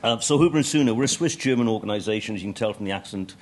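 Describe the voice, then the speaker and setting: A man's voice: fairly low pitch, expressive, racing, a little mellow. A middle-aged man presenting a technical talk at a conference, with dry humour and frequent ums and uhs. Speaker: conference speaker. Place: conference hall stage.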